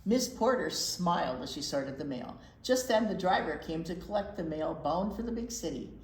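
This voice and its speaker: A woman's voice: fairly low pitch, expressive, moderate pace, darkish tone. A woman presenting a children's storytime, reading a picture book expressively and chatting with simple questions for young listeners. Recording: echoey room, faint background noise.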